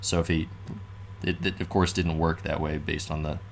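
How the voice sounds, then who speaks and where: A young man, slightly hoarse, casually thinking aloud and explaining board game tactics with many fillers. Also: extremely low pitch, level tone, moderate pace, a little hiss or hum. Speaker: board game show co-host.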